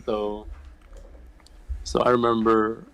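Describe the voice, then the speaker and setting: A man's voice: lowish voice, little pitch movement, slow pace, creaky, fairly bright tone. A man who is a guest content creator, chatting in a laid-back, casual way with easy slang. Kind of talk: casual podcast chat.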